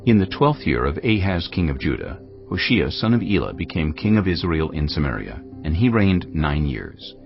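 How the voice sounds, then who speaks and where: A male narrator reading scripture in a measured, grave storytelling manner. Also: rumbling low, big pitch swings, gravelly. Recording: dull lo-fi sound over cinematic music. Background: cinematic music.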